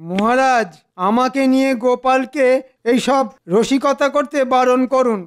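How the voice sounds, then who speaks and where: A man's voice: extremely high pitch, fairly even intonation, measured pace, noisy background. A man playing a scheming, petulant, self-important cartoon minister, whiny and argumentative. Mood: indignant. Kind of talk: Bengali cartoon voice acting.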